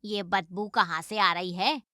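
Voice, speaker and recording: Sharp-voiced nagging mother-in-law. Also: medium pitch, a little slow, no room sound, studio quality. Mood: suspicious, scolding. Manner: nagging, accusatory. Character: scolding older mother-in-law, sharp and suspicious. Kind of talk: animated moral story dialogue.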